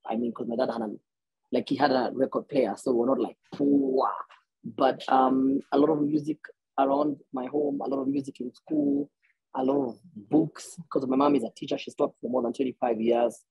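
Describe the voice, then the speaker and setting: A Kenyan man in his thirties talks on a video call through earbuds, his voice gravelly and husky. He rambles warmly through his answers, restarting phrases often. The sound is dry and close. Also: mid-range voice, expressive, normal speed, dry recording, highs cut off. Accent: Kenyan English. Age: 30s. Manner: rambling, many restarts and fillers, laughs easily. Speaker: guest musician. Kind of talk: conversational interview answers. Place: small room indoors.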